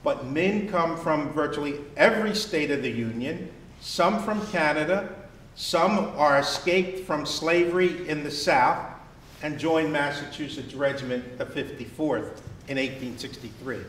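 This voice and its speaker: A man from New Jersey with an American accent, giving an educational history talk in a measured, deliberate manner with emphatic pauses on key words. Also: on the high side, fairly bright tone. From New Jersey, United States. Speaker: living-history presenter in Civil War uniform. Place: wood-panelled memorial hall, indoors, with student audience. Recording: distant mic, echoey room, background noise.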